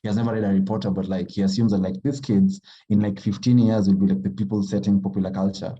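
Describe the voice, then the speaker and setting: Soft-spoken man, dark mellow voice. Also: on the low side, flat delivery, dull audio, slight background noise. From Kenya. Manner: halting, thoughtful, heavy with fillers. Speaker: writer, podcast guest. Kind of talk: casual conversation.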